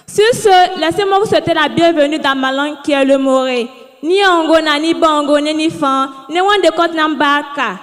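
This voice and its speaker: A woman's voice: high-pitched, fairly bright tone. A young woman from Burkina Faso, giving a proud, formal welcome speech as a student. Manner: proud, declamatory. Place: hotel ceremony hall. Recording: echoey hall with music behind.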